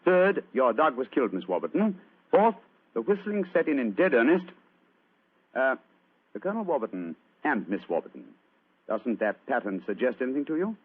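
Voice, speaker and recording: A man playing a shrewd, courteous gentleman detective in a radio drama, formal and theatrically authoritative. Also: medium pitch, very animated, moderate pace, breathy edge. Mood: commanding, explanatory. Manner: hesitant coaxing, formal explaining. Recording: muffled, lo-fi old radio recording.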